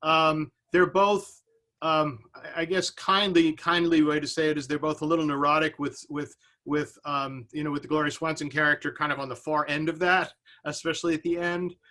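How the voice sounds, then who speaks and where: A man speaking as a panelist in an unscripted discussion, in a halting, searching way with repeated words. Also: fairly high pitch, little pitch movement, bright, forceful tone.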